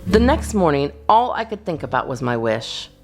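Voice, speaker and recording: Female storytime reader, very lively intonation, slightly low register with gravelly edges, expressive delivery for kids. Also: moderate pace, soft background music. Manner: animated, theatrical reading for children. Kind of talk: expressive children's storybook narration.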